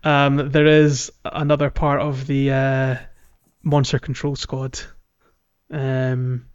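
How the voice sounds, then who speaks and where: A man's voice: medium pitch, completely flat delivery, clean tone. A man co-hosting a casual gaming podcast, chatty and jokey, in loose conversational talk. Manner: jokey, chatty, quick to laugh. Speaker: podcast co-host. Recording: dull, lo-fi voice recording.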